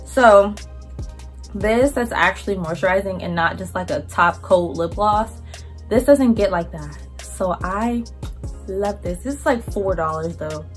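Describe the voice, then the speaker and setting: A young woman talks straight to camera. Her chat is loose, slangy and blunt, with swearing. Her voice sits at a middling pitch, with faint music underneath. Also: measured pace. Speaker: vlogger.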